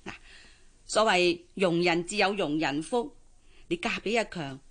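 A woman's voice: lowish voice, unhurried, a bit bright. A woman acting in a radio drama as an older, well-meaning housemaid with a Hong Kong accent, chatty and coaxing as she gives advice. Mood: concerned, advising. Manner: coaxing, advice-giving, cheerfully resigned.

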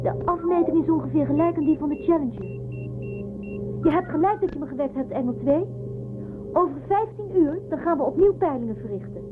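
A woman's voice: high voice, animated, brisk. An actress playing a young, inquisitive female space crew member in a radio drama, earnest and questioning. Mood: focused. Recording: narrow-band old radio sound with music.

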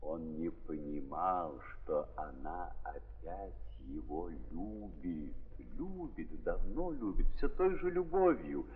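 A man narrating a 1930s radio drama in an impassioned theatrical style, with heavy pauses and repeated phrases. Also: low voice, expressive, husky. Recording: muffled, echoing 1930s archive recording.

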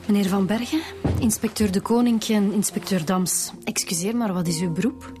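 A woman's voice: medium pitch, moderate pace, over music, natural voice. A woman playing an informal, chatty police detective in a radio drama, with a Flemish accent. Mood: businesslike. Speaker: actress playing a police inspector.

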